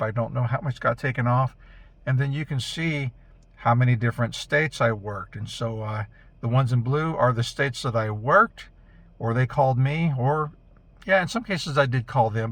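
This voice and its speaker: A man's voice: mid-range voice, fairly bright tone. A man giving a casual, unscripted recap as an amateur radio vlogger, chatty and informal, thinking aloud as he goes.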